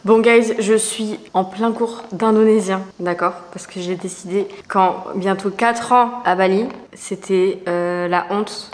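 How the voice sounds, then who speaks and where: A young woman chatting casually to camera in a lifestyle vlog, playful and slangy, with quick asides. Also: mid-range voice, fairly even intonation. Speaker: lifestyle vlogger.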